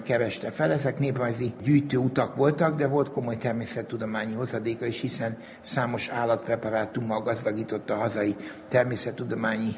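Man in a very reverberant hall. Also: mid-range voice. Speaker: museum director being interviewed. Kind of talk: formal expository account. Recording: long hall reverb, faint noise.

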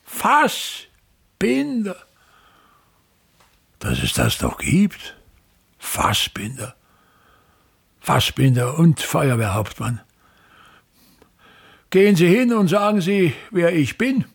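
An actor playing a pompous, embittered ageing theatre impresario in a radio play, declaiming in querulous, repetitive tirades with emphatic pauses. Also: male voice, on the high side, huge pitch swings, creaky, breathy edge, full character voice. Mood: mocking, pompous. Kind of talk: German-language radio play acting.

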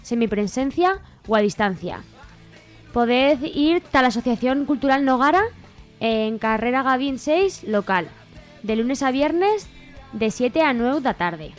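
Higher-voiced woman reading radio notices. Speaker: radio presenter. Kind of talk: scripted announcements read aloud. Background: faint music.

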